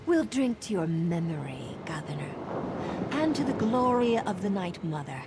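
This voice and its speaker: A woman's voice: lowish voice, sing-song, normal speed, heavy background noise. A woman as a poised, lilting lady assassin. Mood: coldly menacing. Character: poised female assassin posing as a servant, coldly menacing. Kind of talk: dramatic video game dialogue.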